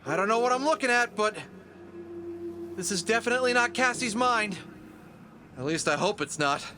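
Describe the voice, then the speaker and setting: A man's voice: high-pitched, animated, moderate pace, noisy background. An actor playing an earnest, determined and loyal male fox adventurer in an audio drama. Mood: bewildered.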